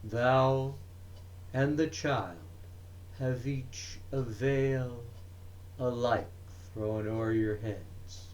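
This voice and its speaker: A man giving a dramatic recitation of poetry, with deliberate, emphatic phrasing and frequent pauses. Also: medium pitch, a little mellow. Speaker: reader of poetry.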